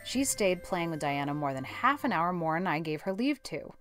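A woman's voice: lowish voice, very animated, moderate pace, over music. A woman voicing a stern, practical older spinster guardian, brusque and scolding, in an audiobook. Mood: exasperated. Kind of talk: audiobook character acting.

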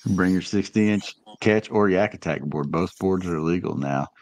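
A man's voice: deep voice, normal speed, a little mellow. A man hosting a fishing podcast, asking friendly questions and explaining tournament details. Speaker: podcast host. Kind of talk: casual podcast interview.

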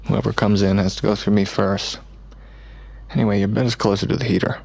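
Creaky, sardonic young man. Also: fairly low pitch, flat delivery, fairly quick, darkish tone, no room sound. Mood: dry, sardonic. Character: Sam, jaded, sardonic, protective young man. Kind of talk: naturalistic audio drama acting. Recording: faint ambient noise.

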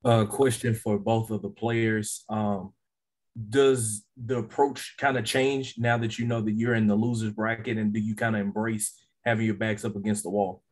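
A man reporter asking the players measured questions at a press conference. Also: lowish voice, steady tone.

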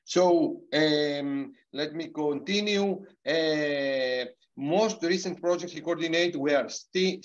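Hesitant middle-aged man, accented English. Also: a little high. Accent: non-native English. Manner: hesitant, many fillers and restarts. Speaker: host of an online university webinar. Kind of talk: spoken introduction on a video call. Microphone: computer mic on a video call. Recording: dull, muffled video call audio.

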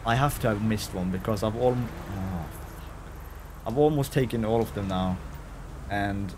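Male game streamer, Norwegian accent, lowish voice, loose casual chat with some swearing, over clear vehicle noise. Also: measured pace. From Norway. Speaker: live streamer. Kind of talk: casual gaming livestream commentary, chatting with viewers. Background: game ambience with vehicle sounds.

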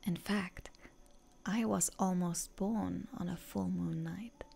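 A woman playing a seductive, genteel lady vampire in a breathy, coaxing whisper for roleplay ASMR. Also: on the low side, steady tone, takes it slowly, warm tone, full character voice. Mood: soft, fascinated.

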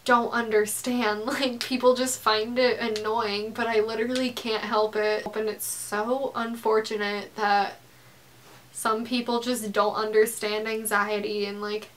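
A teenage girl with a slightly high yet flat, monotone voice, speaking candidly to a close camera in her bedroom. Her talk is blunt and heated, full of filler words and the odd swear. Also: a little slow. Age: teens. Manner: frank, heated, peppered with "like" and swearing. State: tearful. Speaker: YouTuber. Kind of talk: casual confessional vlog. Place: bedroom, indoors.

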